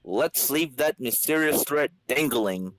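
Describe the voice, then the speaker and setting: A man narrates a comic book in a dramatic style. His voice is medium in pitch and moves up and down with plenty of animation. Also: expressive, a little slow, dry recording. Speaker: podcast narrator and reader.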